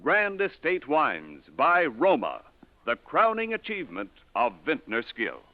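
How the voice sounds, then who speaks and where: A man's voice: a little high, wildly swooping pitch, a little slow, no echo. A man working as a commercial announcer, delivering a polished, emphatic 1940s radio advertisement. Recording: dry, narrow vintage radio broadcast.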